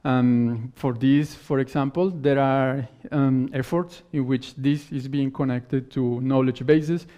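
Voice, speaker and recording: A man whose first language is not English, giving a technical conference talk and answering questions in halting phrases that he often restarts. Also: mid-range voice, steady tone, warm tone. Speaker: conference speaker. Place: conference hall stage. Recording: dull lo-fi conference recording.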